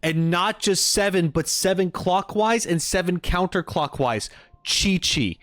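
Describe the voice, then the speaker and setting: A man doing casual live reaction commentary as a streamer, thinking aloud in an expressive way. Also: sits high, a little slow, dry recording, studio quality.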